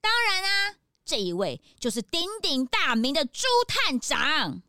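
A woman's voice: high voice, sing-song, measured pace, bright, forceful tone, no room sound, full character voice. A woman with a Taiwanese Mandarin accent voicing a frank, helpful young detective's assistant in a children's radio play. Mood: admiring. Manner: questioning, straightforward.

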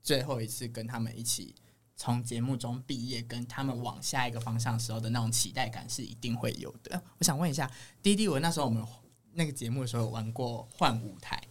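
A man's voice: mid-range voice, fast talker. A man with a Taiwanese Mandarin accent hosting a casual entertainment chat in a playful, teasing manner. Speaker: podcast host.

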